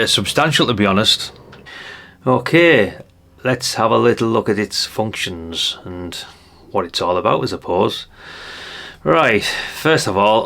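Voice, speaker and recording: Gravelly, low-voiced older man. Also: a bit bright. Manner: chatty, rambling, thinking aloud. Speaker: radio hobbyist reviewer. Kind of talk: casual hands-on product review.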